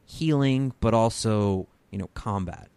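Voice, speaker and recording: Halting male podcast host, dry sound. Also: fairly low pitch, gravelly, a little mellow, clean tone, no echo. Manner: halting, restarts questions. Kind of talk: interview questions.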